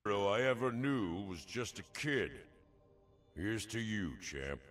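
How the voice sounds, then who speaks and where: An actor playing a gruff old veteran warrior in a video game, gravelly, with a dry, sarcastic, folksy delivery. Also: male voice, on the low side, big pitch swings, takes it slowly, a bit bright, soft background music, heavily acted. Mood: reflective.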